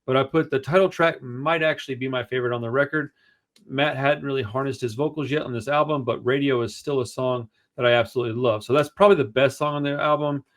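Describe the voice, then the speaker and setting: Booming-voiced guy co-hosting a punk review show, slangy and rambly, middle pitch. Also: fast. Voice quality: booming, projecting voice. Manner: animated, slangy, rambling opinions. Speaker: podcast co-host. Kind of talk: casual music review chat.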